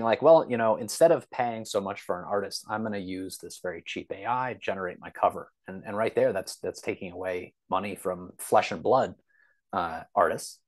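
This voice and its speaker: A man with a fairly low voice chats as an interview guest. He explains things discursively, wandering into examples and asides. The sound is dry and close, with little room to it. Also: dry recording. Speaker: podcast guest, science fiction author. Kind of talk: casual podcast interview talk, explaining.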